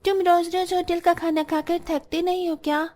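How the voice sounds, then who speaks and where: A woman's voice: very high voice, level tone, moderate pace, darkish tone, soft background music. A woman playing a stubborn, sulky little girl from a poor family in a cartoon story. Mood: defensive.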